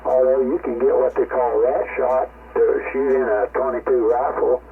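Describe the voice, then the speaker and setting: A man's voice: mid-range voice, level tone, moderate pace. An older man, reminiscing in a folksy, rambling way over a ham radio link. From rural United States. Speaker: amateur radio operator. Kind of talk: casual reminiscing chat. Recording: tinny, noisy ham radio transmission.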